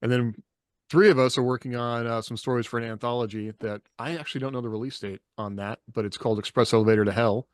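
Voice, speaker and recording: A man's voice: medium pitch, steady tone, fast, no room sound, studio quality. A man hosting a podcast in a casual, conversational style. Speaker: podcast host. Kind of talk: casual discussion.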